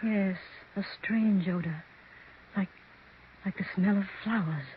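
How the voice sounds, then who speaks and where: An actress playing a frightened, easily overwhelmed woman facing a ghost, halting and tremulous, in 1940s radio melodrama style. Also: medium pitch, lightly acted. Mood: anxious, awed. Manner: halting, gasping, tremulous exclamations. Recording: dull, hissy 1940s radio recording.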